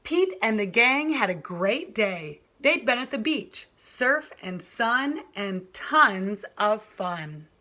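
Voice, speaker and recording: Female kindergarten teacher, storybook read-aloud, very bright tone, unhurried pace. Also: a little high, big pitch swings. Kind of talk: children's storybook narration.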